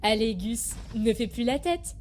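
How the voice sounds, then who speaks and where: A woman's voice: on the high side, expressive, a little slow, darkish tone, slight background noise. A woman playing a kindly communications officer minding a child in an audio drama, reassuring and playful.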